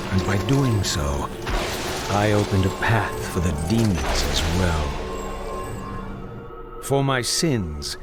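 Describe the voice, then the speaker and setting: A man voicing a mysterious, remorseful prophet in a game cinematic, grave and wise, with deliberate dramatic delivery. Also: fairly low pitch. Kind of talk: video game cinematic acting. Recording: loud music under voice.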